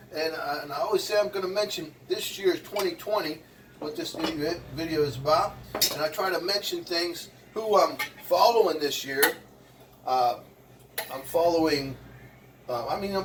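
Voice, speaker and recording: A man's voice: on the high side, recorded from a distance, noisy background. A man in his sixties, a vlogger and motivational speaker, chatting casually to the viewer in a rambling way while he cooks in his kitchen. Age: 60s. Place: home kitchen.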